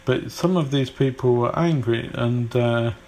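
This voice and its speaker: A man's voice: mid-range voice, measured pace, darkish tone, a little hiss or hum. British man, accent tinged with Polish. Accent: British English with Polish influence. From Britain. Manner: rambling, hesitant, many fillers and restarts. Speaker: English teacher. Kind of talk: informal video lesson.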